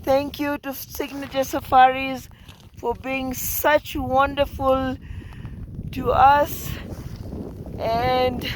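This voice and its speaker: A hoarse woman narrating her mountain climb in a slow, breathless, casual way, filming outdoors on a handheld camera. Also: sits high, little pitch movement, slight background noise, poor audio. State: hoarse, lost voice. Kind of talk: casual travel vlog narration.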